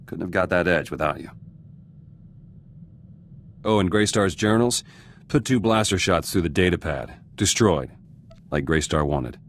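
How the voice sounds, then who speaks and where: Embittered resistance fighter, deep voice, terse clipped phrasing, hard and vengeful, video game drama, background ambience noise. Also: male voice, expressive, a little slow, pauses often. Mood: cold, resolute. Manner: terse, clipped fragments with dropped words.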